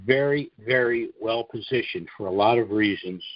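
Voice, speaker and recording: A man hosting a conference call, delivering an informal monologue briefing in deliberate phrases with emphatic pauses and a husky voice. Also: lowish voice, no room sound. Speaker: conference call host and presenter. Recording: dull, lo-fi conference call audio.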